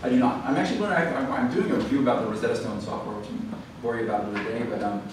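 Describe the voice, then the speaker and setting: A man's voice: mid-range voice, racing. A man, an author at a library event, answering audience questions in a thoughtful, explanatory way with frequent fillers and restarts. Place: library event hall, recorded from the room. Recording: echoey hall, far from the mic, audible room noise.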